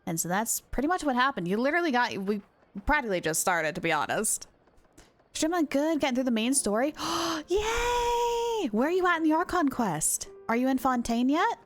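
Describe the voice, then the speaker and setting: A woman's voice: high voice, sing-song, normal speed. A woman live-streaming a game, chatting casually with viewers in a bubbly, giggly manner.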